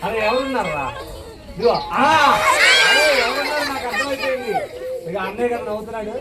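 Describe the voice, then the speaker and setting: A woman's voice: on the high side, lively intonation, fast talker, very noisy. A woman hosting a children's puppet show with playful, teasing banter, repeating phrases and calling out to the audience. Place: church hall with children in audience. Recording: echoey hall, distant mic, loud crowd.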